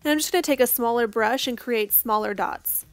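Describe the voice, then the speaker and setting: A young woman, hoarse from losing her voice, giving a casual step-by-step makeup tutorial voice-over. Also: fairly high pitch, a little fast, faint music behind. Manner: casual, chatty, reassuring.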